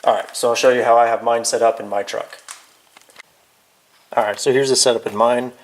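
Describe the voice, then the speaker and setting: A man's voice: medium pitch, steady tone, a little fast, low-quality recording. A man giving a casual, hands-on how-to demonstration in an informal, offhand way, as if thinking aloud while he works. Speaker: DIY video presenter. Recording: clear background noise, clicks of handling.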